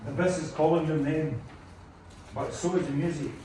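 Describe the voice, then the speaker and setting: A man's voice: fairly high pitch, fairly even intonation, normal speed, natural voice. Man as a solemn mythic bard, echoey and distant. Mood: solemn, consoling. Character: sorrowful male mythic bard, a guiding mentor. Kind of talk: poetic table read of a film script. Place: echoey room at a group table read. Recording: very echoey room, distant mic, noisy.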